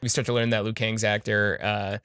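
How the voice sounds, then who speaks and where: A man's voice: on the low side, flat delivery, a little fast, a bit bright, clear, resonant tone. A man hosting a casual, comedic movie recap in informal, slangy language with plenty of swearing. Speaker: podcast host.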